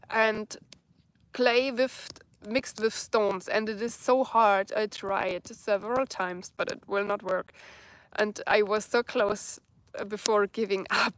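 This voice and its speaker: German woman, 30s, unhurried live-stream host, phone held close outdoors, groping for English words. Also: medium pitch, a bit bright. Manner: slow, searching for English words. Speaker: bushcraft vlogger. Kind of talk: live stream chat reading comments. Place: outdoors in a dark forest at night by a campfire.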